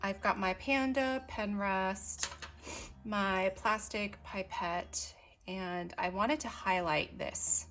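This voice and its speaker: A woman talks through her hobby supplies in a relaxed show-and-tell style, wandering into small asides and filling pauses with ums. Her voice sits at an ordinary middle pitch. Quiet music plays faintly behind her. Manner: chatty, unhurried, lots of ums, asides while working. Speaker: stationery vlogger. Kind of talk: casual show-and-tell narration.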